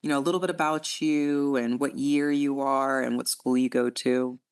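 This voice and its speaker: A woman's voice: very deep voice, fairly even intonation, normal speed, clean tone. Chatty woman podcast host. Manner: chatty, filler-laden, encouraging. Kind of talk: interview host.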